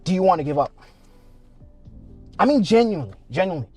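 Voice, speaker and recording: Man, casual podcast chat, blunt and swearing, slightly high voice, faint music behind. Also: sing-song, fast talker, darkish tone, husky, dry recording. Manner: frank, heavy swearing, musing aloud.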